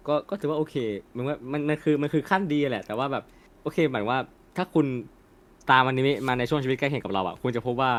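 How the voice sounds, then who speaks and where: A man's voice: mid-range voice, quick pace, fairly bright tone. A young man in a casual, opinionated discussion as a co-host, talking colloquially with swearing and slang. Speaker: co-host of an anime discussion channel.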